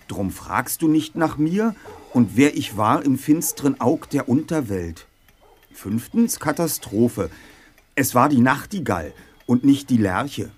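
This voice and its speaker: A man's voice: medium pitch, animated, a little slow, darkish tone, breathy edge. A man acting as a brainy, precise teenage boy detective leader in a German audio drama, articulate and pedantic. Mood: pondering, lecturing.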